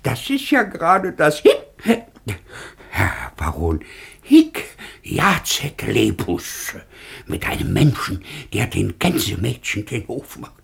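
Husky, creaky, pompous cleric. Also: male voice, medium pitch, huge pitch swings, full character voice. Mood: pompous indignation. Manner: pompous, halting, sententious. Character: fussy, self-important cleric tutor serving a baron. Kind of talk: theatrical radio play acting.

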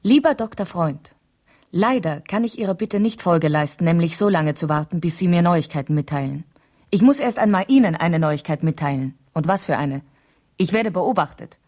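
A vain, talkative wife in a seventies German radio drama. Her voice is fairly low for a woman and softly mellow. She chatters on, wanders off the point and justifies herself with an indignant edge. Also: animated, moderate pace. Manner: chatty, digressive, self-justifying. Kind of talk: 1970s German radio play, letter monologue.

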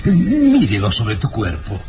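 A creepy doting old baron dad, low and slow, radio drama music underneath. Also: male voice, wildly swooping pitch, full character voice. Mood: insistent. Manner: slow, insistent, with dry chuckles. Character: older baron, doting, eerily obsessed father. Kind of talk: 1960s radio drama acting.

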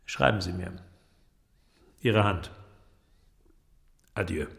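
An actor playing a pensive, sombre middle-aged inventor in a literary audio drama, speaking in a measured, deliberate way with a creaky voice. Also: male voice, low voice, fairly bright tone, full character voice. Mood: solemn farewell.

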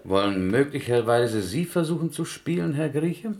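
A man's voice: medium pitch, expressive, moderate pace, vocal fry, darkish tone. An actor playing a meek but stubbornly logical man in a German radio play, hesitant, formally polite and full of questions. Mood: defensive. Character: meek, stubbornly logical, melancholy male chess pupil.

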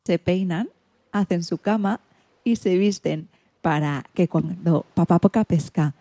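Very mellow, lowish woman radio storyteller. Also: unhurried, slight background noise. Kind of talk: scripted children's radio narration.

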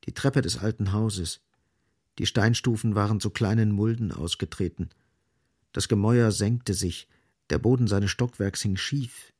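A man's voice: lowish voice, unhurried, a little mellow, breathy edge, dry recording, studio quality. A man reading literary scripted narration as the narrator of a radio drama.

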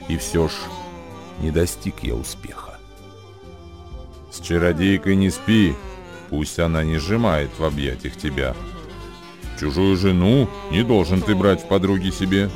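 Deep-voiced worldly sage over music. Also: male voice. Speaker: actor reciting verse. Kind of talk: dramatic radio recitation of ancient verse.

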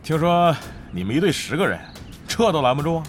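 A blustering, very animated guild rival. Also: male voice, fairly high pitch, brisk, soft background music. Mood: indignant. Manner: confrontational, complaining. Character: indignant, blustering male rival guild leader in an online game. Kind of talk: Chinese audio drama acting.

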